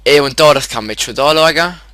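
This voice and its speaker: Stuffy-nosed Swiss German man, bright voice. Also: fairly high pitch, a little hiss or hum. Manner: halting, rambling, many restarts and fillers. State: stuffy with a head cold. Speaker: YouTube channel host. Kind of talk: casual screen-share info video.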